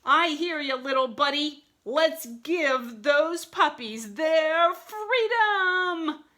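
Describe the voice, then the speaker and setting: A woman's voice: high voice, expressive, drawn-out words, bright, forceful tone, voice pushed up, heavily acted. A woman voicing an earnest, enthusiastic male wolf who leads a storybook gang. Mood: proud, cheering. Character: upbeat male wolf, big-hearted gang leader.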